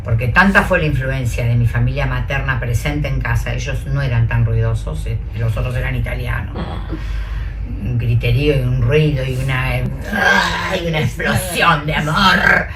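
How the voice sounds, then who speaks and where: A woman with an Argentine Spanish accent, hosting a relaxed interview chat and speaking slowly and thoughtfully. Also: a bass voice, noisy background. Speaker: host.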